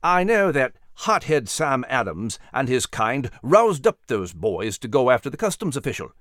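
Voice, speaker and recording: A man's voice: on the high side, wildly swooping pitch, unhurried, no echo, studio quality. A man voicing a stern, irritable British army captain with a formal, clipped manner in a historical storybook. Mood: angry.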